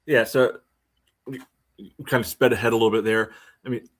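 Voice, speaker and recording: A man co-hosting a sports podcast, recapping play casually in halting, piecemeal phrases padded with "you know". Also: mid-range voice, steady tone.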